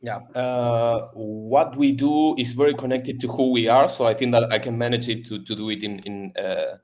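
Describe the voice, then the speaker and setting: A man from Argentina with an Argentine Spanish accent, a native Spanish speaker, talking as a podcast guest in a halting way with many fillers and repeats. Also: medium pitch, a little mellow. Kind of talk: casual interview chat.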